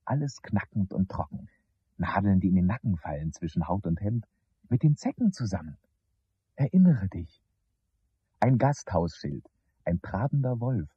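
An actor playing a wistful man lost in surreal memories in a radio play, with a husky voice. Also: sits low, very animated, normal speed, pauses often, dry recording, exaggerated voice. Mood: wistful, hushed. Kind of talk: 1970s German radio play acting. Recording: dull, lo-fi old radio recording.

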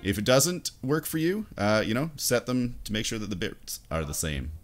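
Faint music plays behind a man in his thirties with a fairly low voice. He talks casually and informally, walking through a software tutorial step by step. He sounds close to a desk microphone. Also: very animated, moderate pace. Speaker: tutorial host. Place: indoors at a computer desk.

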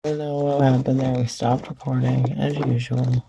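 Raspy-voiced woman crafting at her table. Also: a bass voice, level tone, moderate pace, highs cut off, background noise. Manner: rambling, self-deprecating, stammers and repeats. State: raspy from cheering at a concert. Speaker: resin craft channel host. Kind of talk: casual chat while crafting.

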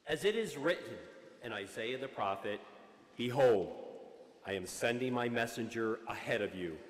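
An older man, a deacon, giving a solemn, measured and formal Gospel reading. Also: mid-range voice, expressive. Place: large church indoors, at the pulpit. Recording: long hall reverb.

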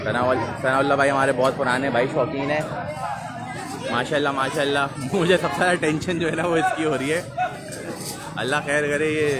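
A man hosting a live stream outdoors, greeting viewers by name as he talks through the scene. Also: fairly high pitch. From Pakistan. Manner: chatty, greets viewers by name, repeats mashallah. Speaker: livestream host. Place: city street outdoors. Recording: busy street noise and crowd chatter.

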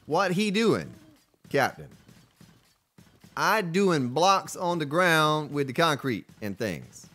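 A man's voice: on the high side, sing-song, takes it slowly, long pauses, bright, forceful tone. Chatty male game streamer from Florida. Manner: chatty, answers viewer chat between gameplay remarks. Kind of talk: casual live-stream chat while playing.

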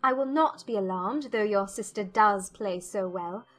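A woman playing a witty, spirited young gentlewoman, arch and playful, in an audiobook drama. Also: medium pitch, normal speed, soft background music, lightly acted. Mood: arch, defiant.

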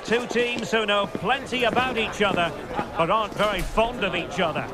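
A man's voice: high-pitched, moderate pace, very noisy, lightly acted. A man playing a TV football commentator, introducing big matches with dramatic, emphatic, grand scripted phrasing. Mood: keen anticipation. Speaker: sports commentator. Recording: clear stadium ambience behind voice.

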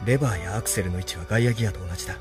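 An actor playing an earnest, intense young mecha pilot hero in a Japanese radio drama, with dramatic delivery. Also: male voice, fairly low pitch, very fast, over loud music, natural voice. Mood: focused.

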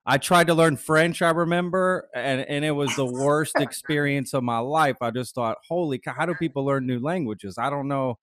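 A man's voice: mid-range voice, normal speed, few pauses, fairly bright tone, no echo. A man in his thirties hosting a podcast interview, chatting casually and enthusiastically with plenty of fillers, recorded on a large studio mic. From grew up bilingual, mother from Mexico City. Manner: enthusiastic, lots of fillers and repeated "that's cool". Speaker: podcast host. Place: small studio set. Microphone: large mic on boom arm.

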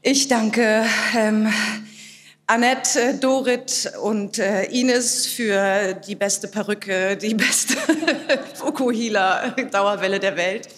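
A woman in her forties accepting an award on a theatre stage at a stand microphone, thanking people in an excited, breathless way with many fillers and laughs. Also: mid-range voice, slow pace. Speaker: award winner giving acceptance speech. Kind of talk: emotional thank-you speech. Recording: long hall reverb with audience noise.

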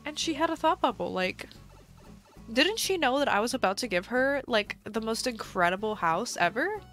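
A woman's voice: fairly high pitch, lively intonation, normal speed, fairly bright tone. A woman live streaming a game in casual chat, chatty and giggly, her voice slightly stuffy from a cold. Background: faint background music.

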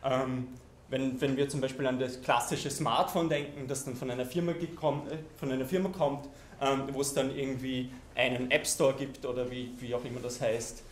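A man's voice: mid-range voice, fairly even intonation, normal speed. A man gives a keynote in a large lecture hall. He talks loosely and conversationally, stopping for ums and restarting phrases. The sound rings around the room, with a faint hiss underneath. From Austria. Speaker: keynote speaker. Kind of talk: conference keynote talk. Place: lecture hall with projection screen.

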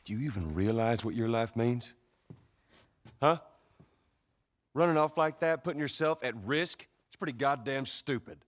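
An actor playing a gruff, guarded middle-aged survivor in a video game, with a gravelly Southern US drawl and a terse, stern manner. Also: male voice, medium pitch, animated, moderate pace, long pauses, no echo, lightly acted. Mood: angry, urgent. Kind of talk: cinematic video game drama acting. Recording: dramatic game score behind dialogue.

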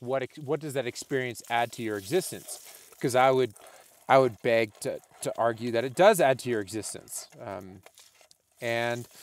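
Candid cold man vlogging in a tent. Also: medium pitch. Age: late 20s. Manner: thoughtful, candid, self-deprecating, halting restarts. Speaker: outdoor filmmaker. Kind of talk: casual reflective vlog narration. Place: inside a small tent on a snowy mountain summit.

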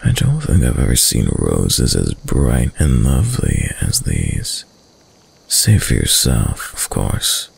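Very deep, gravelly seductive dark prince acted by a man. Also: wildly swooping pitch, heavily acted. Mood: courtly, flattering. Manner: slow, hushed, suave, deliberate. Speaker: voice actor. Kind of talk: intimate roleplay audio drama.

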